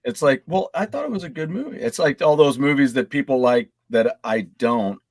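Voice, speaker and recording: A man's voice: medium pitch, lively intonation, brisk, no room sound, clean studio sound. A man co-hosting a casual gaming panel chat, talking in a rambling, conversational way. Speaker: co-host of a gaming live stream.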